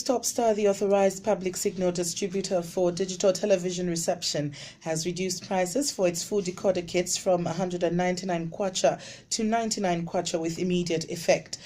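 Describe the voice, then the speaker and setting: Mellow-voiced woman reading the news. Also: on the low side, a little hiss or hum. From Zambia. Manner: measured, formal delivery. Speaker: radio newsreader.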